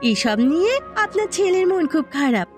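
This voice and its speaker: A woman voicing a village wife in a cartoon, animated and expressive. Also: very high voice, brisk, music in the background. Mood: earnest, gossiping. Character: village women, a peasant's wife and her elderly mother-in-law. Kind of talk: Bengali cartoon voice acting.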